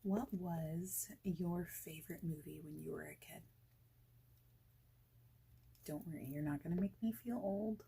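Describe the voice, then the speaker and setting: This is a woman speaking softly in an informal ASMR interview roleplay. Her voice is very breathy and fairly low, with a dark, relaxed tone. She talks in a casual, hesitant, chatty way and often slips into a whisper. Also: measured pace, pauses often.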